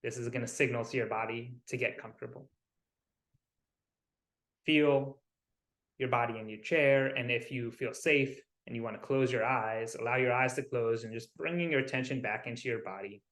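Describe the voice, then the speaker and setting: A man leading a guided visualization as a wellness coach, soothing and unhurried, pausing between short phrases. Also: mid-range voice, a bit bright. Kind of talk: guided meditation. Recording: roomy, echoing sound.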